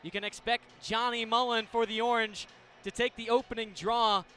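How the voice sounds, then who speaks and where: Very high-pitched American man calling play-by-play. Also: normal speed, soft background music. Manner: clipped descriptive phrases, bursts into excited calls. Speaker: radio play-by-play announcer. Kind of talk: live sports play-by-play commentary. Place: indoor stadium broadcast position. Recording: live radio with steady venue noise.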